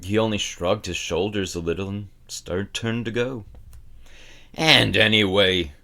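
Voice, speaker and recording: A man reading a story aloud as narrator, in an expressive storybook style. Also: on the low side, moderate pace.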